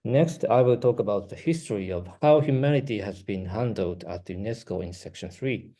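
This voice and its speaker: A man with a Japanese accent, whose first language is Japanese, giving a prepared academic talk as a researcher, reading carefully with brief pauses. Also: lowish voice, warm tone.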